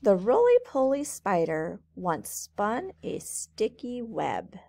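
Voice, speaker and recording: Slow-reading woman with a creaky voice. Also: mid-range voice, huge pitch swings, warm tone. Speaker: teacher reading aloud to children. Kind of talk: storybook read-aloud narration.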